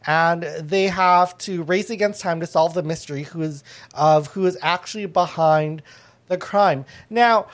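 A podcast host talks loosely to a panel at an even, mid-range pitch. The delivery is chatty, with lots of fillers and false starts. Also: unhurried. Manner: chatty, many fillers and restarts. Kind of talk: casual panel chat.

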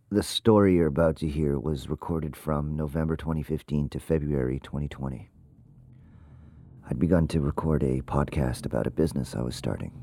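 An actor in his thirties playing a lonely, earnest ghost hunter who talks in a halting, self-conscious way, as if confiding in his podcast. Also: rumbling low, mellow, dry recording. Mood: somber, reflective. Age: 30s. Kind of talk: confessional podcast-style audio drama narration.

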